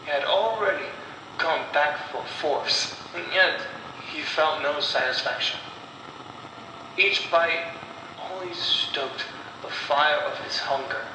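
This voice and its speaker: Echoey, slow-paced man narrating horror. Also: on the high side. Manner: slow, deliberate delivery with dramatic pauses. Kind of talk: scripted horror story narration. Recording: echoey, distant voice with clear noise.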